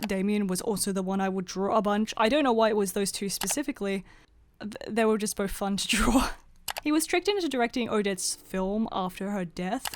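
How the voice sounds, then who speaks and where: A young woman with a mid-pitched voice talks through scripted commentary in a casual way. Her delivery is chatty and informal, with a self-deprecating streak, like an online artist presenting their own work. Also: slight background noise. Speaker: artist and video creator.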